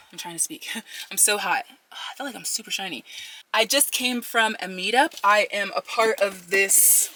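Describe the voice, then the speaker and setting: A woman's voice: mid-range voice, unhurried, pushed and bright. A woman in her thirties talking casually to camera as a vlogger, chatting to her viewers as folks and y'all. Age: 30s. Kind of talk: casual vlog chat to camera. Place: home kitchen, then a car.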